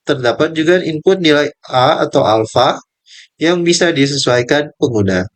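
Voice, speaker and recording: A man's voice: fairly high pitch, moderate pace. A young male student presenting his part of a group lesson, reading out steps and table values with small slips and restarts. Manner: reads numbers in steady lists, with small slips and restarts.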